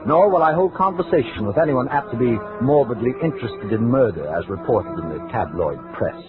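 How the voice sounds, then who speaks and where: A man's voice: medium pitch, lively intonation, brisk, natural voice. An actor playing a cold, precise, increasingly tormented scholar who narrates his murder journal in formal, self-important diction in an old radio drama. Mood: strained, controlled. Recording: vintage radio, narrow band, music behind.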